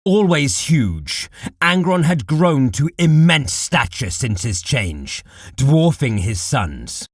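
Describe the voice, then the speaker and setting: A man narrating dark war fiction as a scripted audiobook, in a deliberate, dramatic manner. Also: medium pitch, sing-song, dry recording, clean studio sound.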